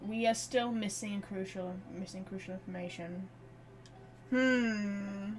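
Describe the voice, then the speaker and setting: A woman's voice: medium pitch, slow, rough recording. A woman giving casual live game commentary, chatty and thinking aloud with repeated phrases. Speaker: game streamer.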